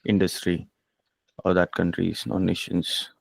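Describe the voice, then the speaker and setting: Gravelly man asking a question. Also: sits low, little pitch movement, a little slow. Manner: hesitant, asking. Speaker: call participant. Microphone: video call.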